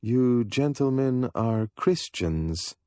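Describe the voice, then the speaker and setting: Creepy thin bald stranger, man voicing him, formal, sneering, slow and deliberate. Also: mid-range voice, darkish tone, dry recording, clean studio sound, pitched above the performer's own voice, close to an everyday voice. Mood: contemptuous. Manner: formal, faintly contemptuous. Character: sinister thin bald man, contemptuous and cold.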